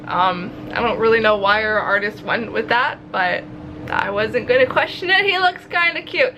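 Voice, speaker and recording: A woman chatting casually in a video diary, with an animated, expressive, self-mocking manner and casual swearing. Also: fairly high pitch, normal speed, noisy background. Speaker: visual novel developer and vlogger. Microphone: recording to camera. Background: background music.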